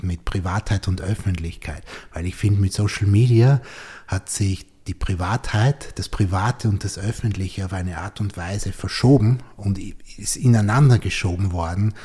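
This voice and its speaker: A man's voice: low voice, steady tone, normal speed, dark tone, breathy edge. A man hosting a podcast interview, reflective and thinking aloud as he talks. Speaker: podcast host. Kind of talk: conversational interview.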